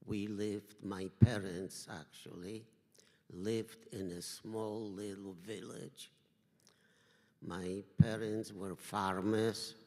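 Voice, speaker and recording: An older man from Poland, giving a survivor's testimony in slow, measured, halting phrases. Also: fairly low pitch, steady tone, a little mellow. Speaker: Holocaust survivor speaker. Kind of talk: personal testimony talk. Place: synagogue hall. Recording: reverberant hall.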